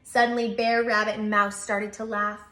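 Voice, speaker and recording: A woman's voice: mid-range voice, normal speed. A woman preschool teacher speaking expressively as she leads a children's story time. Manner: expressive, animated delivery. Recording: roomy, echoey room.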